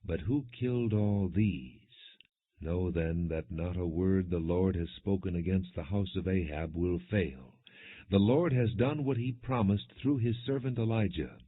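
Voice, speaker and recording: The audio is narrow and muffled, like a phone line. A man with a low, faintly husky voice reads scripture as an audiobook narrator. His delivery is measured and solemn, scripted rather than dramatized.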